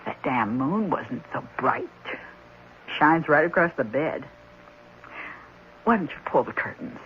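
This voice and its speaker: An actress playing an anxious, practical wife, expressive and fussing, in a 1970s radio drama. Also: female voice, deep voice, unhurried, exaggerated voice. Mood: coaxing. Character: anxious, practical wife, adult woman, exclamatory. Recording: muffled 1970s radio broadcast, faint noise.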